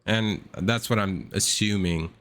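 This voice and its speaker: A young man giving slangy, casual reaction commentary in a self-described monotone. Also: on the low side, a little slow, slight background noise. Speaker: reaction video host.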